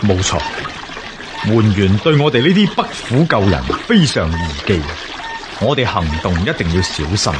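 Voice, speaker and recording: An actor with a Hong Kong Cantonese accent playing an earnest, emphatic rebel officer in a historical radio drama. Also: male voice, fairly low pitch, big pitch swings, moderate pace, noisy background. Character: earnest male rebel officer of ancient China.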